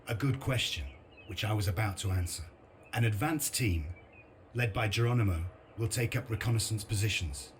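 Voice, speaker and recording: An actor playing a grim, sardonic resistance commander in a video game, with a blunt, dry, determined delivery. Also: male voice, on the low side, normal speed, slight background noise, natural voice. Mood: grim resolve. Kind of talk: video game cutscene narration and briefing.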